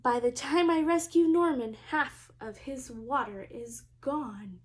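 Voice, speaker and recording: Female preschool teacher for storybook reading. High-pitched, unhurried, expressive, talks straight to small children. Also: breathy edge. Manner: expressive, addressing young children directly.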